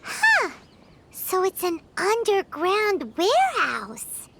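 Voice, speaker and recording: A woman voicing a tiny, excitable, childlike fairy companion in a video game, with a squeaky, animated delivery. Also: slow, heavy background noise, heavily acted. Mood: surprised. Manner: animated, exclaiming. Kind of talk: video game voice acting, scripted dialogue.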